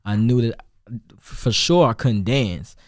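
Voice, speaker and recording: A young man from Chicago with a Chicago accent and a deep voice, chatting loosely as a rapper guest, with many restarts and fillers. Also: animated, a little slow, no echo, clean studio sound. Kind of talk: casual interview chat.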